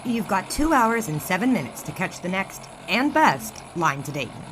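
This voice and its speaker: An actress playing a bookish, precise young woman who quietly corrects others, in a period radio drama. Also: medium pitch, very animated, moderate pace, a little hiss or hum. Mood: precise, efficient. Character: brisk, precise, bookish young daughter of newspaper boss.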